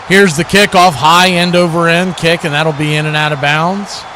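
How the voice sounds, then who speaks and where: A man's voice: a little high, steady tone, moderate pace, pushed and bright. An American man giving live football play-by-play commentary in clipped short phrases. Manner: clipped short phrases calling each action. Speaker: play-by-play announcer for an online watch party stream. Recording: clear background noise.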